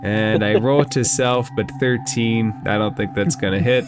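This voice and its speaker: A man's voice: lowish voice, steady tone, a little slow, music in the background. A man acting as game master, narrating and explaining rules in a conversational way during a tabletop role-playing game.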